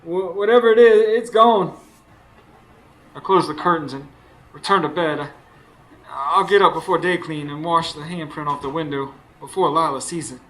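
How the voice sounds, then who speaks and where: An actor playing an anxious husband who narrates a supernatural horror radio play with intense, dramatic delivery, recorded live on stage. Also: male voice, a little high, normal speed, a bit bright, natural voice, low-quality recording. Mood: alarmed. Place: stage before a live studio audience.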